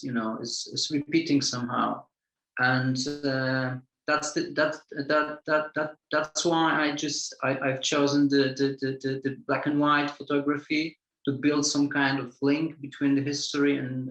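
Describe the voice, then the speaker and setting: Man, halting, echoey room. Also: a little high, fairly even intonation, a bit bright. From Poland. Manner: halting, many repeated words and restarts. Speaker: film director. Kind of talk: festival Q&A answer. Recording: roomy echo.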